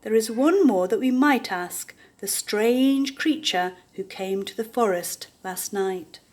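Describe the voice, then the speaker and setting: A woman's voice: medium pitch, expressive, takes it slowly, darkish tone. Woman voicing a vain, bossy storybook warthog. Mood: polite, purposeful. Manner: grunting, self-important. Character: vain, bossy male warthog, politely pompous.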